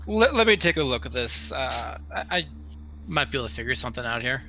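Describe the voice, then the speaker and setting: A man's voice: medium pitch, big pitch swings, brisk, pushed and bright, poor audio. A man playing a tabletop roleplaying game, talking casually and tentatively as he reasons out his moves aloud. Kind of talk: casual in-game talk, speaking as his character. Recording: noticeable background noise.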